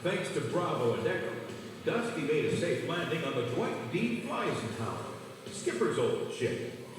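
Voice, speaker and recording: A man's voice: on the high side, sing-song, measured pace. A man reading a children's read-along story as a scripted narrator. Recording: echoey hall, distant, music behind. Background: music.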